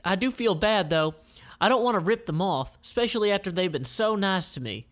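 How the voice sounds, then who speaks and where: A man's voice: sits high, expressive, a little fast, fairly bright tone, pitched above the performer's own voice. A man voicing a flustered, earnest young princess in disguise for a comic read-aloud. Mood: earnest, worried.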